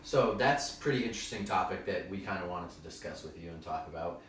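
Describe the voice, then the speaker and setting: Deep-voiced male podcast host, very echoey. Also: animated, quick pace, a little hiss or hum. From Maine. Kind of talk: casual interview chat. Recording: very echoey room, voice from far off.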